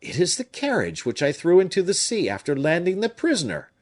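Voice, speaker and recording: A seasoned musketeer captain, blunt and shrewd, speaking frankly with a raised, animated pitch that swings widely, as a man voices him in an audiobook. He sounds forthright and loud. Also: brisk, full character voice. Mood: brisk. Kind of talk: audiobook reading.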